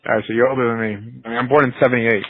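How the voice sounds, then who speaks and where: A man's voice: medium pitch, racing, narrow, tinny audio, a little hiss or hum. A man, casually chatting and telling stories as host of a talk. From United States. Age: 40s. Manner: relaxed, chatty, storytelling. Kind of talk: casual conversation.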